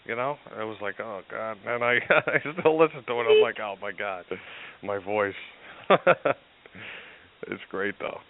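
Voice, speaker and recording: Brief: deep-voiced guy with a New Jersey accent, chatty storyteller, heard over a tinny phone line. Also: measured pace. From Newark, New Jersey. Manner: animated storyteller, many restarts and laughs. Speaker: guest. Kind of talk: casual chat.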